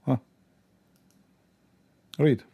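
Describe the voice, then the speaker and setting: A man hosting a commentary video in halting non-native English full of restarts, speaking in a mocking tone. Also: dark tone. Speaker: channel host. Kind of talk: casual commentary, quizzing an AI assistant.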